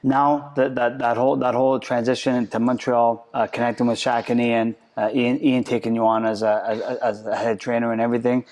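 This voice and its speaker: A man's voice: mid-range voice, level tone, fairly quick, few pauses, a little mellow. A man hosting an interview podcast, asking questions with stumbles and repeats and backing the guest with "yeah" and "mm-hmm.". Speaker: podcast host.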